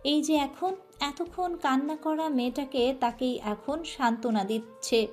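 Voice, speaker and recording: Woman narrator, soft, emotive, slightly high voice, faint music under. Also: unhurried. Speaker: story narrator. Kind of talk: scripted romantic story narration.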